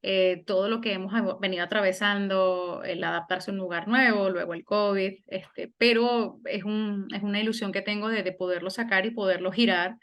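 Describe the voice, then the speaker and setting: A woman's voice: mid-range voice, fairly even intonation, normal speed, hardly any pauses, fairly bright tone, highs cut off. A middle-aged woman from Venezuela, a singer and German teacher, talking thoughtfully in an interview with frequent restarts. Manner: reflective, many restarts and fillers. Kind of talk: conversational interview.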